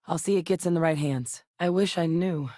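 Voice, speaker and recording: Actress as earnest woman scientist, low voice, measured and articulate, serious, naturalistic video game dialogue, dry close recording. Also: dark tone, dry recording, lightly acted. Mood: calm, sincere.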